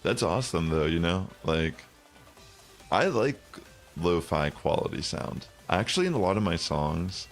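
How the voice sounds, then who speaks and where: Streamer: young man, bassy low voice, rambling casual talk, gravelly edge, faint music under him. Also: sing-song, a little slow. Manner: rambling, many fillers. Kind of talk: casual chat while gaming.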